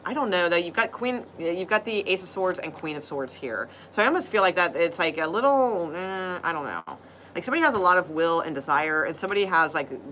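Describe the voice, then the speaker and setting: A woman's voice: on the low side, pushed and bright, a little hiss or hum. A woman doing a casual, rambling live tarot reading, laughing often and losing her voice. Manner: rambling, chatty, laughs often, frequent fillers. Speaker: tarot reader.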